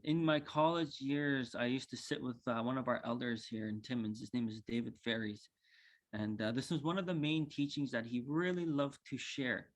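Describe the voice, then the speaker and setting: Humble, easygoing man in his twenties, presenting on a video call; mid-pitched, unhurried, full of fillers. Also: a bit bright. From northern Saskatchewan, Canada. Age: 20s. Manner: easygoing and humble, with frequent ums and uhs. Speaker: cultural coordinator giving a teaching talk. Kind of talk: casual spoken presentation.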